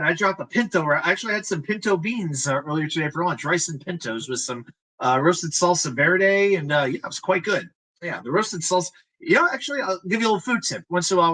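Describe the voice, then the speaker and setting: Guy hosting a live sports show, fired up and rambling, kinda sharp bright voice. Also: high voice, low-quality recording. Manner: animated, chatty, greets viewers mid-rant. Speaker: sports talk show host. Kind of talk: live-streamed sports talk.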